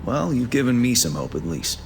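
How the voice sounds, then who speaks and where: A man playing a calm, polite, dependable government agent in a video game cutscene, giving brief, understated replies. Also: mid-range voice, brisk, vocal fry, a little hiss or hum. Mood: agreeable.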